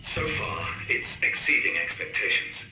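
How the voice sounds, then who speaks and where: An actor playing a grave, worried male scientist recording a deliberate, ominous audio log in a game. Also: low-pitched, big pitch swings, sharp tone, faint music behind, exaggerated voice. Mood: grave, measured. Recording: echoey room with faint noise.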